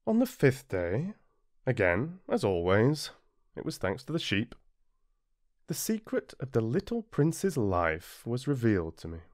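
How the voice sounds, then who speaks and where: British male audiobook narrator: unhurried, widely varied intonation, deliberate pauses, dry close sound. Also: medium pitch, dry recording. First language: English. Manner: expressive, deliberate, with dramatic pauses. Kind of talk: scripted storybook narration, read-along.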